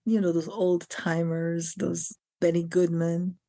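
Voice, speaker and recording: A middle-aged woman, her voice sitting a little low. She talks in an articulate, emphatic way, stacking phrase upon phrase as she explains her craft on a podcast. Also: flat delivery, takes it slowly, vocal fry, dark tone. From France. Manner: articulate and emphatic, builds long lists of phrases. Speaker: guest, acting teacher and film director. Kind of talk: podcast interview.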